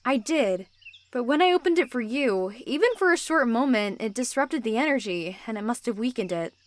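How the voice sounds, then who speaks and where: Woman acting earnest, high-voiced secretive young woman. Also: animated, normal speed, lightly acted. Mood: serious, concerned. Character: secretive, protective young supernatural woman hiding the truth. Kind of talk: amateur roleplay voice acting.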